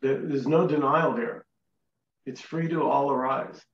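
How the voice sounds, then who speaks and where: A man's voice: mid-range voice, steady tone, measured pace, roomy sound, recorded from a distance, lo-fi sound. A man hosting a casual group chat, talking emphatically and repeating short denials over a video call. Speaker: host.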